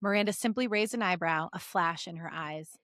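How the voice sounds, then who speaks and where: A woman's voice: fairly low pitch, fairly quick, fairly bright tone, no echo, clean studio sound. A woman podcast co-host reading fan fiction aloud in a giggly, joking way with casual asides.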